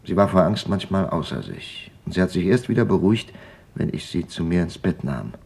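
Deep-voiced, sober middle-aged father. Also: big pitch swings, vocal fry, darkish tone, full character voice. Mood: somber, remembering. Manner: measured, sober recollection. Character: grieving middle-aged father, a quiet survivor remembering hiding. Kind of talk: documentary radio drama testimony.

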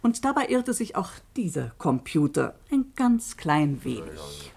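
An actress playing a radio play presenter who explains a case in a pointed, emphatic, ironic way. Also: female voice, low-pitched, huge pitch swings, a little slow, creaky, darkish tone, a little hiss or hum, exaggerated voice. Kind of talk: German radio drama narration addressed to listeners.

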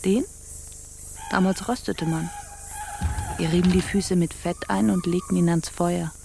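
An actress playing an imperious, mocking pirate captain in a theatrical radio play, brooding and scornful. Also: female voice, lowish voice, fairly even intonation, measured pace, creaky, music in the background. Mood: mockingly menacing. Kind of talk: stage-like radio play acting.

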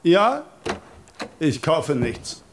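Theatralischer, langsam deklamierender Bühnenkünstler. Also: male voice, a little high, very animated, slight background noise, full character voice. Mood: aloof. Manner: theatrical, measured. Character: self-important male stage actor and artist. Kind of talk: declamatory radio drama acting.

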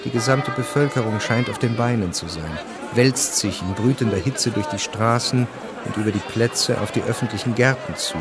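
A man's voice: medium pitch, moderate pace, over loud music, over a noisy crowd. A man reading scripted literary narration as the narrator of a radio drama.

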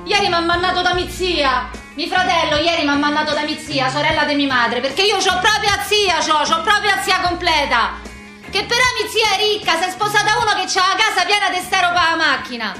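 A woman's voice: very high voice, brisk, bright, forceful tone, soft background music. An actress playing a brash, foul-mouthed young working-class woman with a Roman accent, in a combative, slangy theatrical monologue. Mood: aggrieved, rambling. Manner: slangy, combative, rambling street talk. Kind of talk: theatrical comic monologue for radio.

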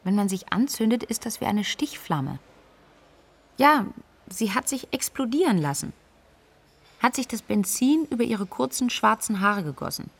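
A woman's voice: on the high side, very animated, moderate pace, vocal fry, slightly rough, exaggerated voice. An actress playing a composed, clinically precise medical woman, recounting events interview-style in a radio drama. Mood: grimly detached.

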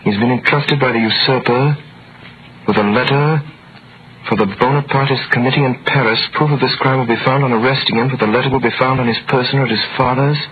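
A man's voice: medium pitch, steady tone, fast talker, phone-quality sound, lightly acted. A man playing an earnest young sailor in solemn, theatrical 1930s radio drama style. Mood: respectful, bewildered. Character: earnest young sailor, nineteen, recounting his own story. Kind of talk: 1930s radio acting, dramatic first-person narration. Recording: thin noisy vintage radio recording.

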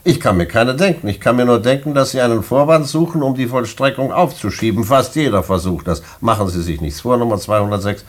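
An actor playing a stern prison director in a German radio play, officious, curt and skeptical, with formal bureaucratic phrasing and a husky voice. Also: male voice, mid-range voice, brisk, hardly any pauses, lightly acted. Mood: stern, official.

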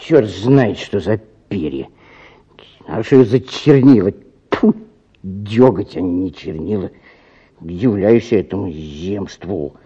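Irritable, husky petty clerk. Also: male voice, sits low, slow, dark tone, dull audio, heavily acted. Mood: grumbling. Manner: grumbling, snappish, barking orders. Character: irritable, grubby clinic clerk, petty and officious. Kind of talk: Russian radio drama acting.

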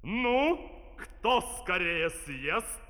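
Grand, pompous official in bright ringing tones. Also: male voice, high-pitched, very animated, very slow, heavily acted. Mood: commanding. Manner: theatrical, ceremonious. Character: grand pompous official, scheming father and host. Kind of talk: 1970s Soviet musical fairy-tale stage acting. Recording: reverberant old vinyl studio recording.

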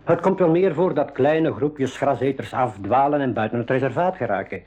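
An actor playing an affable, helpful game warden and guide in a school radio drama, speaking in a measured, explanatory way. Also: male voice, mid-range voice, a little mellow, close to an everyday voice. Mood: serious, explanatory.

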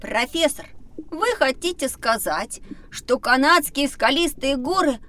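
Excitable, high-pitched cheeky schoolboy. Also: female voice, a little slow, slight background noise, heavily acted. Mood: curious. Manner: exclamatory, cheeky. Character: curious, excitable, sceptical young schoolboy adventurer. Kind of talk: children's educational audio drama acting.

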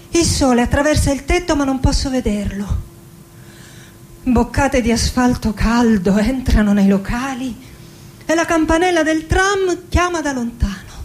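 A high-pitched actress as a middle-aged woman poet in a radio drama, reciting slowly and lyrically. She is melancholy yet wry and passionate, leaving dramatic pauses, over a faint rumbling noise in the recording. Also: darkish tone, lightly acted. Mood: bleak, lyrical. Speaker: actress performing a dramatic monologue. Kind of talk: theatrical radio monologue, poetic recitation.

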